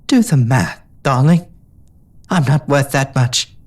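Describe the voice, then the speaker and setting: A man's voice: high-pitched, brisk, darkish tone, pitched above the performer's own voice, close to an everyday voice. A man voicing Ginger, an older injured mare pony who mentored the heroine, speaking in a shaky, pleading voice. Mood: tender. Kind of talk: storybook reading.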